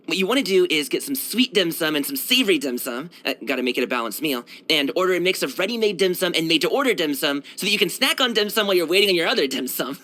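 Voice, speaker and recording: Bright, brash young martial artist. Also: male voice, a little high. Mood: friendly, lively. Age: young adult. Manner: slangy, boyish, animated, self-assured. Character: brash, cheerful young male martial arts dancer and guard. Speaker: video game character voice actor. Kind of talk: casual, conversational game voice lines.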